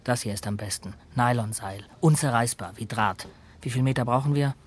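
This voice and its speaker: A sullen, doubting male accomplice played by an actor in a crime radio drama. His voice is husky and breathy, sitting at a middle pitch. He speaks warily and skeptically. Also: moderate pace, natural voice.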